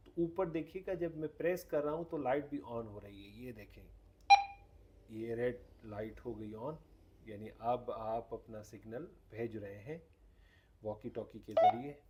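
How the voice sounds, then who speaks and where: A man's voice: medium pitch, unhurried, warm tone, a little hiss or hum. Guy doing a casual hands-on product demo, explains it step by step. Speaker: host demonstrating a radio product.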